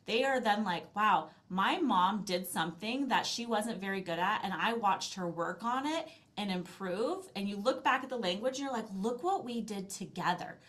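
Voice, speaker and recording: A woman's voice: mid-range voice, a bit bright. A middle-aged woman speaking as a podcast interview guest, explaining in an earnest, conversational way and often restarting her phrases. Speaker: interview guest, language learning company founder.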